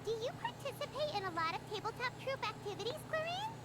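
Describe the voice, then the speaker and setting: A woman voicing a tiny childlike fairy companion in an anime-style game, naive, curious and blunt, over loud noise. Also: very high voice, sing-song, quick pace. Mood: curious, amazed. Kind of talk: English anime-style video game dub acting.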